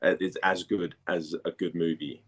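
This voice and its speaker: Very deep-voiced man, halting delivery. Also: level tone, a bit bright. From Denmark. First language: Danish. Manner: halting, searching for words, repeats phrases. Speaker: interview guest, voice actor. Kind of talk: casual interview chat.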